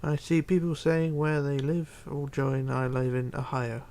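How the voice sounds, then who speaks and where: Streamer guy, gravelly voice, rambly chat-reading, light hiss behind. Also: mid-range voice, little pitch movement, darkish tone. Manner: rambling, chatty, halting with fillers and restarts. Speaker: Minecraft livestreamer. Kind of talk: casual livestream, reading chat aloud.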